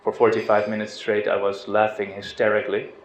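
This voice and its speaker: A middle-aged man from the Netherlands giving an informal spiritual talk, relaxed and hesitant, with restarts and soft laughs. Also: on the low side, fairly quick. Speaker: spiritual teacher. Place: outdoors on a river boat. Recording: roomy, dull lo-fi sound with faint noise.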